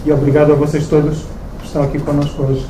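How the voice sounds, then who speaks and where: A man delivers formal thanks in a level, steady voice with little melody. He is the translator at a book launch and pauses briefly between phrases. The sound is distant and echoey, with background noise. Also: fairly high pitch. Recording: echoey room, distant mic, noisy.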